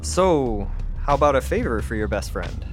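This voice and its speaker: A man's voice: medium pitch, big pitch swings, normal speed, soft background music, close to an everyday voice. A man playing an easygoing, irreverent colony engineer in a science fiction audio drama, casual and sarcastic. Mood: wry, friendly.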